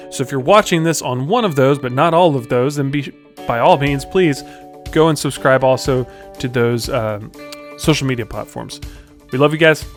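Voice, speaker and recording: Higher-voiced man giving casual motivational talk. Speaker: podcast host.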